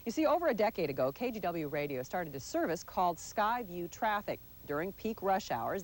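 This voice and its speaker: A woman's voice: on the low side, rough recording. An American woman narrating a scripted television magazine feature as its reporter, playful and tongue-in-cheek with dramatic touches. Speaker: TV magazine show host and reporter. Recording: 1980s TV broadcast, music underneath.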